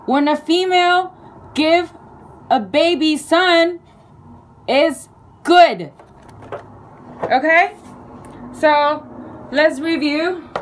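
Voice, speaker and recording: Slow, halting woman, Chinese native. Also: high-pitched, a little hiss or hum. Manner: slow, halting, playful, with fillers and self-corrections. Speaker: video creator. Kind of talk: casual explainer lesson.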